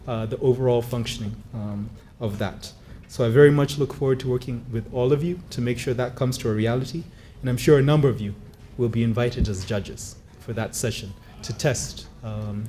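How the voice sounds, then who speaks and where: A man's voice: mid-range voice, mellow. Man giving a formal speech. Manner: measured, with frequent fillers. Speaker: conference speaker. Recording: faint background noise.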